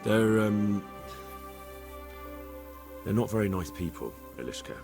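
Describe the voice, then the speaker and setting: A man's voice: fairly low pitch, expressive, slow, music in the background. An actor playing an earnest, polite young man, a little hesitant, in a medieval video game cutscene. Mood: sympathetic, subdued. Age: young adult.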